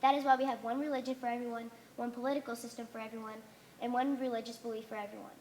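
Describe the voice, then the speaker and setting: A woman's voice: a little high, flat delivery, racing, a little mellow, slight background noise, natural voice. A young woman playing a stern, solemn religious leader in an amateur stage play, speaking in commanding, declamatory tones. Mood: solemn, preaching. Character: stern authoritarian female religious leader of a conformist society. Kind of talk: amateur one-act stage play acting. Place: theater stage.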